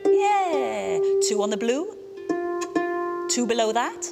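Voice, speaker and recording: A woman's voice: fairly high pitch, sing-song, slow, creaky, over loud music. A woman from Wales giving a harp lesson, with patient, encouraging instructions. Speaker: harp teacher. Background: harp playing.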